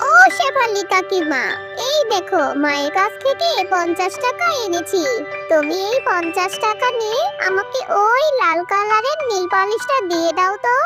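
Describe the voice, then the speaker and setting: A performer voicing an excitable young fox girl in a children's cartoon, wheedling in a sing-song way. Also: extremely high pitch, moderate pace. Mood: delighted. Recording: loud children's music behind. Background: loud tinkly children's music.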